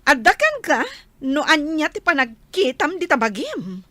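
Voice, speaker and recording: Mocking young landlady, Filipino accent, radio drama, drawn-out phrasing, very dry close sound, sassy. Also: high-pitched, sing-song, bright, forceful tone, no room sound, exaggerated voice. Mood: defiant, mocking.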